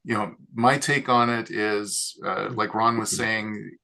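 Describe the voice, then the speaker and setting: A man's voice: on the low side, steady tone, slow pace, vocal fry, bright, forceful tone. A man hosting a panel discussion, talking casually and loosely with frequent fillers. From Canada. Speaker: host, mechanical engineer.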